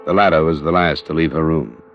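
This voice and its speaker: A man's voice: very deep voice, fairly even intonation, a little fast, soft background music. A man playing a cool, direct insurance investigator in a vintage radio crime drama, clipped and matter-of-fact. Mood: dry narration. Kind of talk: 1940s-50s radio crime drama, narration and dialogue. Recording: dull vintage radio recording.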